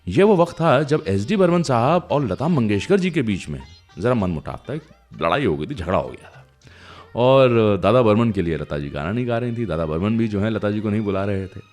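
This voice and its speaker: Guy hosting a music radio show, low voice, really lively chatty storytelling. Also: a little fast.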